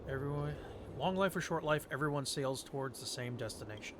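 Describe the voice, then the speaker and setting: A man's voice: fairly high pitch, fairly quick, background noise. A man giving casual live commentary as he streams a game playthrough. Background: game music.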